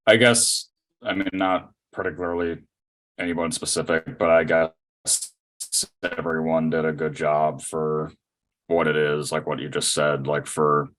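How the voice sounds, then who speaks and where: A man chatting casually as a podcast guest, with a hesitant, halting way of talking. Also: extremely low pitch, flat delivery, fairly bright tone. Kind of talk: casual chat.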